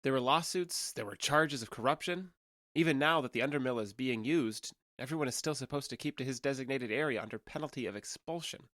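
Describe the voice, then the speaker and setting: A man's voice: medium pitch, fairly quick, fairly bright tone, no room sound, studio quality, close to an everyday voice. A man playing a young alien trader who passes as human in an audio drama, talking in a conversational, earnest and slightly hesitant way. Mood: matter-of-fact. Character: awkward, candid young male alien trader passing as human.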